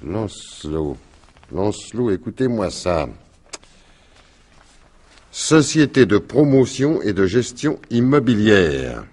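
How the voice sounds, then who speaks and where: Husky, shrewd police commissioner. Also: male voice, lowish voice, sing-song, takes it slowly, pauses often, a little mellow, heavily acted. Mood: excited, brisk. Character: shrewd, wry, insistent male police commissioner. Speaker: actor in a radio mystery. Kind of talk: French detective radio drama acting.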